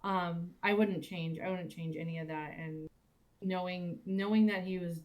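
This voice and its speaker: A woman in a reflective, casual panel conversation, speaking in halting, thoughtful phrases. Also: lowish voice, fairly even intonation, darkish tone. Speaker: cast or crew member on a panel.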